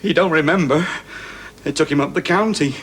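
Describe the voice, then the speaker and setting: Actor as high-voiced, uneasy country man. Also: fairly bright tone, natural voice. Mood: defensive, uneasy. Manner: halting, ungrammatical, defensive. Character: uneasy, simple country man hiding old guilt. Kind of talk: 1970s British television drama acting. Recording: old TV soundtrack, faint noise.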